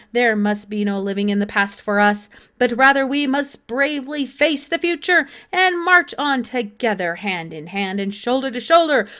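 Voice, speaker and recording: Woman acting a pompous, blustering male senator, raised pitch. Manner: grandiose oratory, forceful and declamatory. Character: pompous, blustering fat male senator making an outraged speech. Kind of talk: children's audiobook character voice.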